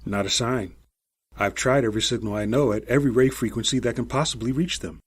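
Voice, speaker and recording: A man's voice: medium pitch, fast talker, slightly rough, natural voice. A man voicing an anxious, frustrated space station radio operator in an audiobook, reporting insistently. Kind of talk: audiobook character voice.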